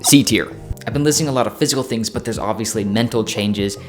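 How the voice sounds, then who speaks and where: A man's voice: lowish voice, fast, soft background music. A man in his twenties talking casually to the camera, chatty and playful, with plenty of fillers and self-corrections. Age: 20s. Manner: playful, jokey. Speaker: vlogger.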